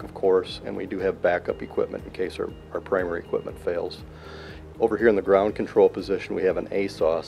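Deep gravelly man explaining his job. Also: highs cut off. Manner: plain, measured, fills pauses with uh and um. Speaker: air traffic control manager. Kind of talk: interview answers. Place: control tower cab, indoors, large windows. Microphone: handheld mic held by interviewer.

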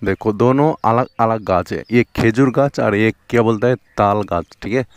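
A man's voice: lowish voice, moderate pace, clean tone, no echo. A young man with a Bengali accent, whose first language is Bengali, chatting casually while riding a motorbike along a riverbank, with an action camera on him. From West Bengal, India. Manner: chatty, repeats "theek hai" and "dekho". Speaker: motovlogger. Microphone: helmet or chest action camera.

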